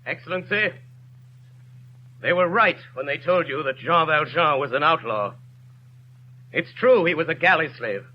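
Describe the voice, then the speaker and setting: An actor playing a dignified mayor with a hidden convict past, giving an impassioned courtroom speech in a 1930s radio drama. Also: male voice, on the high side, lively intonation, moderate pace, pauses often, close to an everyday voice. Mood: grave. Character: mayor hiding his past as a convict, man, middle-aged, grave and passionate. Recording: dull lo-fi 1930s radio broadcast, faint hiss.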